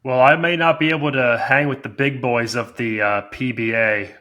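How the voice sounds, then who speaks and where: A man with a bright, forceful voice trades jokes on a casual podcast. He talks with folksy, mock-boastful bluster at a medium pitch. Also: fairly even intonation, normal speed. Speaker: podcast co-host. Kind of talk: casual comedic podcast chat.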